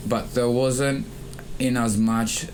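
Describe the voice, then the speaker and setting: A young man from Kenya co-hosting a student podcast, speaking thoughtfully with frequent pauses and fillers. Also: mid-range voice, fairly even intonation, darkish tone, a little hiss or hum, low-quality recording. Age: young adult. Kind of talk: casual conversation.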